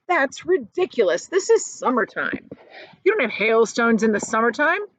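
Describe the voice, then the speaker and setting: A woman voicing a boastful, rude male centipede who jeers and shouts insults in a storybook reading. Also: on the high side, very animated, normal speed, no echo, rough recording. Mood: scornful. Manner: loud and jeering.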